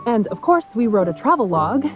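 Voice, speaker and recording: A young woman voice-acting a capable, skeptical bodyguard heroine in a video game cutscene, practical and quick to retort. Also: fairly high pitch, very animated. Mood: focused. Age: young adult. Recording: music behind the voice.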